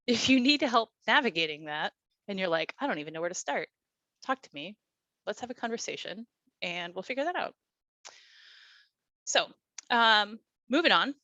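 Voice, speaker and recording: A woman instructor teaches an online class over a video call, encouraging and chatty, with plenty of fillers. Her voice sits a little low yet sounds bright and forward. The sound is dry and close, with no room echo. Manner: encouraging, conversational, many fillers.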